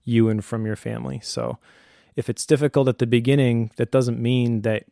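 Mellow middle-aged man giving earnest advice. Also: medium pitch, little pitch movement, vocal fry, dry recording, clean studio sound. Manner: earnest, encouraging, measured. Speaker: podcast host, homeschooling father. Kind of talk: conversational advice talk.

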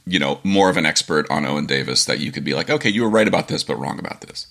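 A man's voice: extremely low pitch, fast talker, creaky. A man in his thirties hosting a podcast, telling stories animatedly, laughing often and acting out what people said. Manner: animated storyteller, laughs often, acts out quotes. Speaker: podcast host. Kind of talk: casual interview chat.

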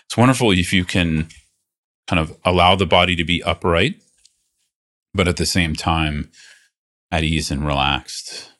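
Male meditation teacher, very deep voice, gently instructive, reassuring, leaves space between phrases. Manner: unhurried, reassuring, phrases broken by pauses.

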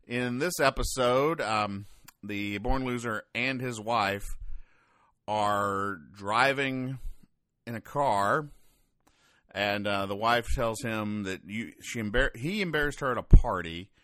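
A man with a fairly low voice delivers casual comedy commentary as a podcast host. His manner is deadpan and wry, and the sound is dry, with very little room echo. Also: slow pace. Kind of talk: casual comedic commentary, explaining comic strips.

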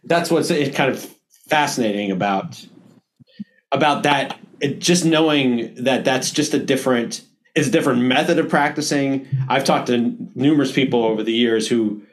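A man's voice: mid-range voice, moderate pace, poor audio. A man hosting a casual interview, often breaking off and restarting his sentences. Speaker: podcast host.

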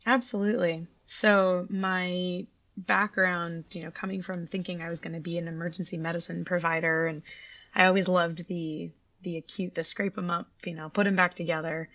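A woman in her thirties from Canada tells her story with chatty enthusiasm, her voice a little low and gravelly at times. The sound is dry and close, with a slightly dull, lo-fi band. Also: level tone, normal speed, a bit bright, no room sound. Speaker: pediatric orthopedic surgeon. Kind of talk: interview answers.

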